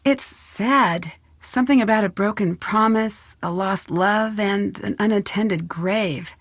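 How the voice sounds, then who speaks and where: An actress playing an inquisitive young American detective in a video game, speaking earnestly and thoughtfully in phone dialogue. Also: female voice, mid-range voice, slow, dry recording. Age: young adult.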